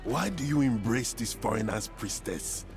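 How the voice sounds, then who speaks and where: Devout, proud warrior, mid-range voice, formal and earnest, video game scene under loud score. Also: male voice, mid-range voice, expressive, normal speed, vocal fry, music in the background. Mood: disdainful. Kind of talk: video game cutscene acting.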